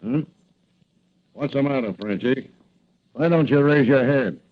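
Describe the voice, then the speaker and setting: An actor playing a stern, skeptical gangster boss in an old-time radio crime drama, speaking in clipped, formal, cold commands. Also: male voice, mid-range voice, unhurried, lightly acted. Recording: tinny vintage radio recording.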